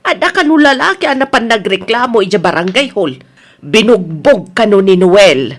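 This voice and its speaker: Woman acting an anxious older mother, mid-pitched. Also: sing-song, moderate pace. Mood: anxious, resigned. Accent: Filipino, Ilocano. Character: anxious older mother, reporting trouble to husband. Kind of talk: Ilocano audio drama acting.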